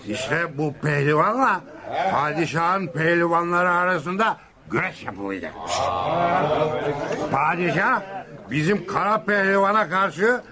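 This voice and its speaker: A high-pitched, bellowing brute of a family man in a radio play. He taunts and threatens in slow, drawn-out phrases, with a loud crowd noise behind him. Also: expressive. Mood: rowdy boasting. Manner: bellowing, taunting, dragging out words. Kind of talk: radio theatre acting.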